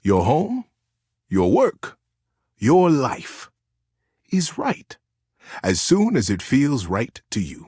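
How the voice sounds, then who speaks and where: A man voicing a lovable old teddy bear in a video game, a gentle, encouraging buddy with a folksy, playful, earnest way of giving advice and a husky voice. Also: mid-range voice, no room sound, clean studio sound. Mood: gentle, reassuring. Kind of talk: video game character monologue, storytelling advice.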